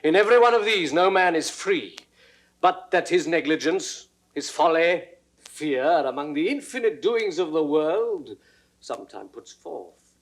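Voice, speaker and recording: An actor playing a loyal, courteous royal counsellor with a British accent, speaking formal Shakespearean verse earnestly in an audio drama. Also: male voice, a little high, sing-song, heavily acted. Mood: earnest pleading. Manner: measured, deferential, formal declamation. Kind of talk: classical Shakespearean stage acting, 1960s audio recording.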